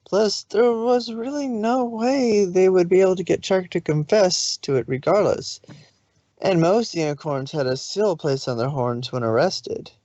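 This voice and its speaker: A woman's voice: fairly low pitch, moderate pace, warm tone, no room sound, muffled recording. A woman reading scripted story narration as the narrator of a fan fiction.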